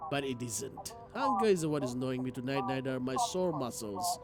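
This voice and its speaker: A man's voice: mid-range voice, animated, fairly quick, very noisy. A man streaming a game, reading its text aloud dramatically and reacting as he goes. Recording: guitar game music behind the voice.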